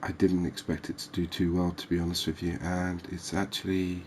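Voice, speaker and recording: A man giving soft-spoken ASMR game commentary as the host, in a gentle, unhurried, hesitant way. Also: sits low, little pitch movement, slight background noise. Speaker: gaming video host.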